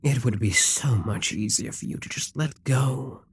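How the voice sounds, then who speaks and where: A man voicing a possessive, scheming demon king in an audio roleplay, calm and commanding, slipping into whispers as he coaxes. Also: mid-range voice, breathy edge. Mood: hypnotic. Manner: slow, commanding, coaxing, drops to whispers.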